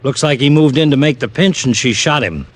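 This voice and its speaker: Actor as blunt, exasperated radio police lieutenant. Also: male voice, mid-range voice, expressive, a little fast, no echo, close to an everyday voice. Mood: businesslike. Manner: blunt, irritated delivery. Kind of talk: old-time radio detective drama acting.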